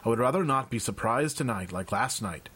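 A man voicing a gruff, brisk male squad sergeant who gives orders. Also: mid-range voice, lively intonation, fairly bright tone. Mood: commanding. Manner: brisk, commanding.

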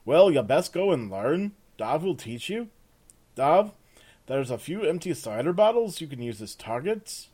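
A man voicing a friendly male sergeant who gives loud, emphatic orders. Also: fairly high pitch, very animated, unhurried, breathy edge, pitched above the performer's own voice, heavily acted. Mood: commanding.

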